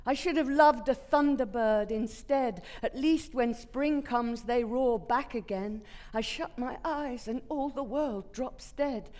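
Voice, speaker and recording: Slow, high-voiced woman reading poetry. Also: darkish tone. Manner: deliberate, measured. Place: large theatre stage. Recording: theatre stage with audience.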